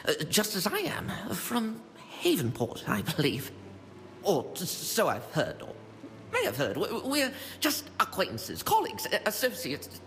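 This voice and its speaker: Flustered, high-swooping bookish male apprentice. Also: vocal fry, fairly bright tone, heavily acted. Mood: flustered, sheepish. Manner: stammering, fussy, self-correcting, nervous. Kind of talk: video game character dialogue. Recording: long reverb, faint background noise.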